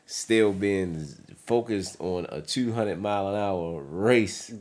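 A man's voice: low-pitched, takes it slowly, darkish tone. A young man talking casually and in slang as the host of a reaction video. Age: young adult. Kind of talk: casual chat.

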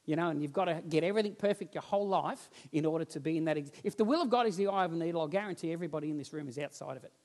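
A man, preaching a sermon on a church stage and telling stories with lively gestures while he walks about. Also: a little high, very fast, hardly any pauses. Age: 40s. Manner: animated storytelling, roams the stage gesturing. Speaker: pastor. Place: church stage with band instruments, indoors.

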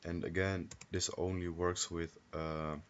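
A man with a low-pitched voice presenting a casual software tutorial, recorded on a computer microphone. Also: little pitch movement, unhurried, highs cut off. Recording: noisy home microphone with clicks.

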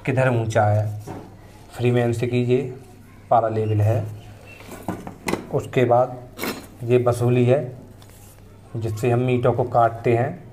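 A man in his thirties talks through his mason's tools in a plain, unhurried way, his voice staying level with little rise and fall. It sounds like an outdoor phone recording with faint background noise. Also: medium pitch, warm tone. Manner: plain, unhurried explaining, pointing at each tool in turn. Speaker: mason and channel host. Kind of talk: casual tool demonstration. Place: paved courtyard outdoors, tools laid on the ground.

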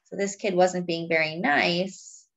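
A woman reading a picture book aloud to young children in a deliberate, teacherly way, adding simple explanations as she goes. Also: fairly low pitch, creaky, a bit bright. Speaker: children's read-aloud storyteller.

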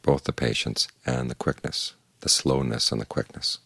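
An older man gives an evening meditation talk with calm, measured patience. His voice sits extremely low, with a gravelly, husky grain. The sound is close and dry, with no room around it. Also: dry recording. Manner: calm, measured, plain-spoken teaching. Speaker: Buddhist monk giving a Dhamma talk.

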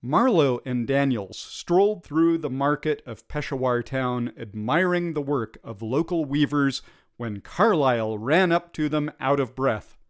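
A man's voice: medium pitch, expressive, a little slow. A middle-aged man narrating a scripted adventure story as its author. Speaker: author reading own story.

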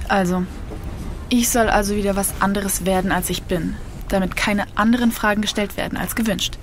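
A woman's voice: mid-range voice, steady tone, normal speed, a little hiss or hum, close to an everyday voice. An actress playing a defiant, grieving young woman in an audio drama, blunt, sarcastic and slangy. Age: young adult. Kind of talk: naturalistic German audio drama acting.

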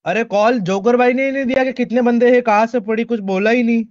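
A man's voice: very high voice, fairly even intonation, brisk, no echo, dull audio. A young man with an Indian accent chatting casually with his gaming squad over a voice chat with faint noise. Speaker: gaming teammate.

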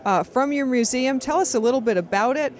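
A woman's voice: sits high, expressive, fairly quick. A middle-aged woman hosting TV interviews at a trade show, speaking into a handheld microphone. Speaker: host and interviewer. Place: large indoor trade show hall. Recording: clear show-floor background noise. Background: trade show floor noise.

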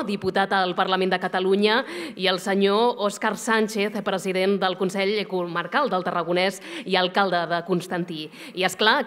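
A woman's voice: mid-range voice, a bit bright. A woman presenting at an awards ceremony, reading formal announcements at a podium microphone on a theatre stage. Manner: formal, reads from notes. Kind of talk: scripted announcement.

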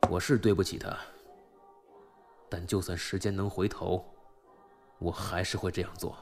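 A man's voice: low voice, flat delivery, moderate pace, faint music behind. A man playing a brooding, remorseful but unyielding boss who has been drinking, terse and subdued, in an audio drama. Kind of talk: audio drama acting in Mandarin.

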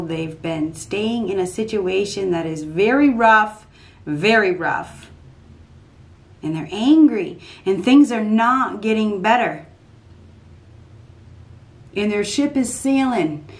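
A woman in her fifties giving an unscripted tarot reading at a desk in a small dark room, repeating herself emphatically as she thinks aloud. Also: medium pitch. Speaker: tarot reader.

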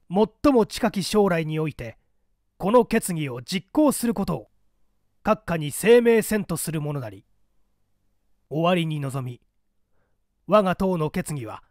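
A man's voice: high voice, dry recording, studio quality. A man reading literary fiction as a dramatic audiobook narrator, theatrical and carefully articulated. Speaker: audiobook narrator, stage actor.

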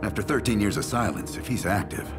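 A cool, hardened American intelligence officer from a video game. The voice is deep with a wide swing in pitch, terse and grave, set over prominent music. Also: male voice, expressive, quick pace. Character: cool, hardened male CIA field officer.

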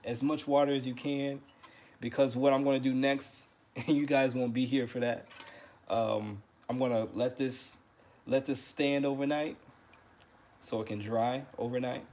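A man in his thirties hosting a casual trumpet livestream from his living room, relaxed and friendly, often repeating words as he talks. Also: mid-range voice, measured pace, slight background noise. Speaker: trumpet teacher and livestream host.

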